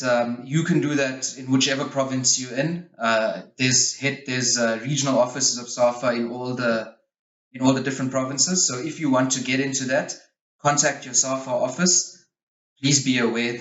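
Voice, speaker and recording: A man's voice: mid-range voice, fairly bright tone. Man in an echoey, muffled recording. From Switzerland. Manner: frank, opinionated. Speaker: interview guest, youth football coach. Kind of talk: conversational interview answers, explaining.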